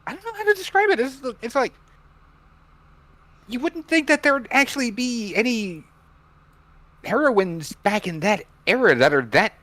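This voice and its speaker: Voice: medium pitch, sing-song, moderate pace, long pauses, fairly bright tone, no echo. A panelist chatting casually and giving opinions in a group discussion.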